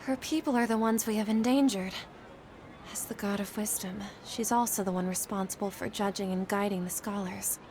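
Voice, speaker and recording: A woman's voice: medium pitch, steady tone, moderate pace, darkish tone, a little hiss or hum, natural voice. An actress playing a guilt-ridden, earnest woman scholar in a video game, speaking expressively and a little hesitantly. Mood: hesitant, reflective.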